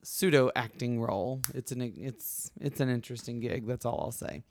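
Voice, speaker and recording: Male podcast host, young adult, slightly hoarse, chatty and rambling, dry close mic. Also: mid-range voice, moderate pace, a little mellow, no room sound. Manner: chatty, rambling, with frequent restarts. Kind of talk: casual conversational podcast chat.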